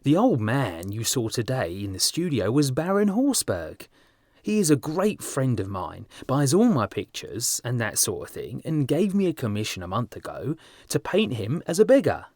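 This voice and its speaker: A man voicing an amused, worldly gentleman painter in an audiobook, with a breezy, emphatic, teasing manner. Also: mid-range voice, huge pitch swings, moderate pace, no room sound, clean studio sound. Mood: amused, grand. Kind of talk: audiobook character dialogue.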